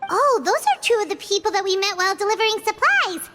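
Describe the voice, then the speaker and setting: A woman voicing a tiny, excitable, childlike fairy girl in a video game, with a squeaky voice. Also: fast, over loud music. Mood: chatty, musing. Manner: exclaiming, refers to herself in third person.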